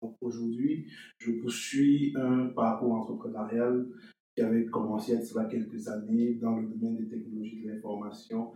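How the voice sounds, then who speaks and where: A man's voice: mid-range voice, little pitch movement, moderate pace, mellow. A young man from Cameroon, a podcast guest telling his story in an earnest way and repeating words as he thinks. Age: young adult. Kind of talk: conversational interview. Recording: echoey distant room sound.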